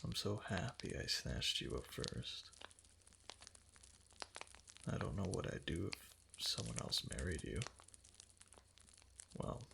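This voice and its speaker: A man playing a doting, obsessive fox-spirit husband in an intimate ASMR roleplay, breathy and half-whispered, tender and hesitant, close to the microphone. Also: rumbling low, sing-song, slow pace, long pauses, noisy background, full character voice. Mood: adoring.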